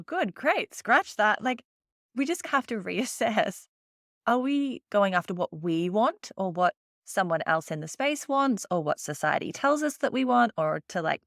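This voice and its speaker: A woman's voice: medium pitch, gravelly, a bit bright, no room sound, clean studio sound. An Australian woman guesting on a podcast, chatting casually and acting out her own thoughts in quotes. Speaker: podcast guest, agency owner. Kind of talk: casual interview chat.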